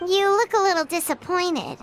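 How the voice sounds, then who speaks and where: A woman voicing a tiny, chirpy, nosy fairy companion in a cartoonish video game, squeaky and full of exclamations. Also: moderate pace, slight background noise. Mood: concerned, puzzled. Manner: exclaiming, inquisitive, refers to self in third person.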